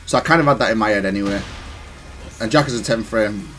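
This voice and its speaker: Casting: male gamer, lower-pitched voice, casual analytical play-by-play, explains and corrects himself as he goes, music behind. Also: fast talker, fairly bright tone. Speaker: gamer reviewing own match. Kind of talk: casual gameplay commentary, analytical.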